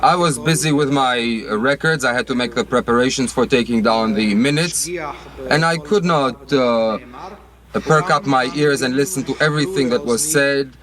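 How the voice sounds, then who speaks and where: A man interpreting court testimony live, formally and haltingly, phrase by phrase. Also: mid-range voice, a crowd in the background.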